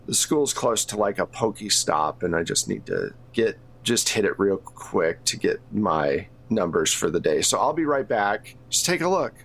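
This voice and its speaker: A man's voice: on the low side, very animated, moderate pace, few pauses, fairly bright tone, voice pushed down, full character voice. A man voicing a casual, obliging male school tech worker in a tabletop game. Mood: easygoing.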